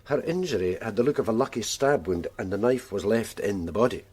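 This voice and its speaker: A sardonic Glasgow detective, low and husky, matter-of-fact. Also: male voice, expressive, a little fast, a little mellow. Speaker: police detective.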